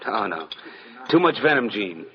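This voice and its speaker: An actor playing a wry, jealous out-of-work actor and boyfriend in a 1940s radio drama, on a muffled old recording. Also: male voice, on the low side, big pitch swings, moderate pace. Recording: muffled vintage radio recording, crowd behind. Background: busy crowd chatter.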